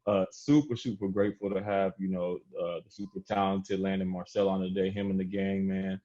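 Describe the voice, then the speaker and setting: Deep-voiced man co-hosting a casual podcast. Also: level tone, a little fast, dark tone. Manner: slangy, peppers talk with "you know". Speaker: podcast co-host. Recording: dull, lo-fi audio.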